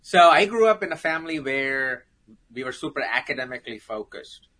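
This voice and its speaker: A man's voice: medium pitch, animated, brisk, bright, forceful tone, rough recording. A man with an Indian accent, a guest on a talk show, telling his life story with self-deprecating jokes. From South India.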